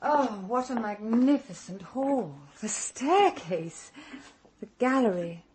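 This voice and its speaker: An actress playing a young, observant secretary-detective in a British radio drama, courteous and inquisitive, with a British accent. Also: female voice, on the high side, expressive, slow pace, a little mellow, slight background noise. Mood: admiring. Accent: British English. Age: young adult.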